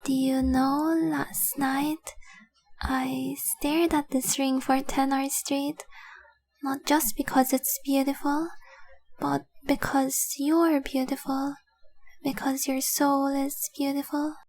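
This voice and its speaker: A young dragon-blooded fiancée, acted by a woman, devoted and remorseful, in an intimate romantic audio drama. Her voice is high and breathy, often close to a whisper. She confesses slowly and earnestly, keeping her melody narrow and gentle. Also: flat delivery. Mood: adoring, moved. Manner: slow, earnest, tender confessing.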